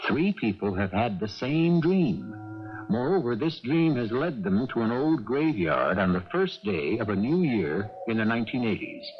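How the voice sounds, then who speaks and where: A man hosting a 1980s radio mystery drama with measured, theatrical, rhetorical scripted narration. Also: medium pitch, sing-song. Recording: dull old radio broadcast, music behind.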